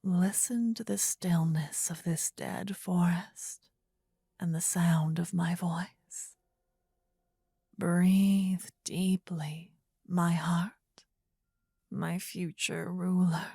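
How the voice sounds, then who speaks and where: A woman voicing a possessive, adoring demon queen in a breathy, husky whisper for a fantasy ASMR roleplay, intimate and soothing with formal phrasing, close to the microphone. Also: on the low side, steady tone, very slow, dark tone, dry recording, full character voice. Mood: soothing, devoted. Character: possessive, adoring demon queen, tender yet menacing female lover. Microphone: close ASMR microphone, dry.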